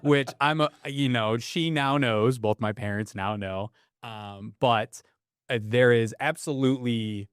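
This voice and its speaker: A man's voice: mid-range voice, clean tone, dry recording, studio quality. A man on a TV interview, telling a lighthearted personal story before asking a question. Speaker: boys volleyball advocate.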